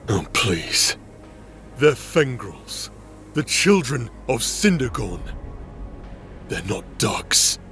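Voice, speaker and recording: A man's voice: mid-range voice, big pitch swings, drawn-out words, long pauses, a bit bright, soft background music, full character voice. An actor playing a cocky, sardonic male fighter in an anime-style audio drama. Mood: smug, mocking.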